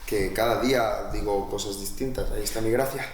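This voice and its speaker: A man's voice: lowish voice, fairly even intonation, brisk, background noise, close to an everyday voice. A man playing a cocky, tactless student joker in a mockumentary, needling and mocking, with an accent from Spain. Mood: defensive. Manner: needling, mocking, self-satisfied. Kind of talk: mockumentary film dialogue. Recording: echoey room under loud music.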